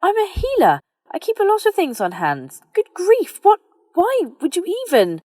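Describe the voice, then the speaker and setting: A woman voicing a practical, prim, defensive young witch healer in an audiobook, brisk, precise and easily flustered. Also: extremely high pitch, wildly swooping pitch, a little mellow, no room sound, clean studio sound, recorded from a distance, voice pushed up, full character voice. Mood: flustered, indignant. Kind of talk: audiobook character dialogue.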